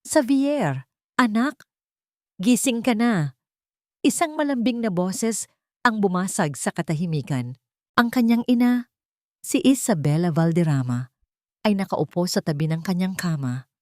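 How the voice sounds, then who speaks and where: A woman narrates a scripted romantic drama in a low register. Her delivery is measured and dramatic, like an audio storybook. The sound is dry, with little room around it. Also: expressive, a little mellow, dry recording.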